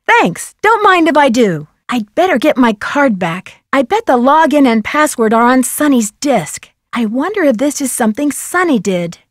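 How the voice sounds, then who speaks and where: A woman playing a curious, determined young female detective in an adventure video game, articulating her lines clearly and inquisitively. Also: high-pitched, sing-song, moderate pace, hardly any pauses, no room sound, studio quality. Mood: curious, matter-of-fact. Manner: crisply articulated, inquisitive, self-narrating. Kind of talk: scripted adventure game dialogue.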